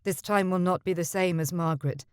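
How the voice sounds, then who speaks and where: A woman voicing a young gentleman suitor in an audiobook, speaking calmly and softly. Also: lowish voice, a little fast, clean tone, no room sound, clean studio sound, natural voice. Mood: tender. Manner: calm, even, soft-spoken. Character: earnest young gentleman suitor, calm and tender. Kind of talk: audiobook character voice.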